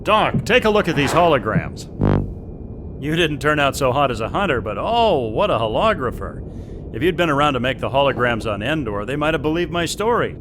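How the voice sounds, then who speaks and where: An actor playing a confident, easygoing mercenary hunter in an audio drama, talking in a casual, self-assured way. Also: male voice, fairly quick, close to an everyday voice. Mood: breezy, joking. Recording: steady engine rumble behind the voice.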